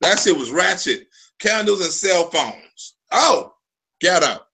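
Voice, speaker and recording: A man's voice: high-pitched, expressive, takes it slowly, gravelly, sharp tone, slight background noise. A man in his forties hosting a live stream, telling loose, profane stories full of restarts. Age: 40s. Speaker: live show host. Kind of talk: casual live-stream chat.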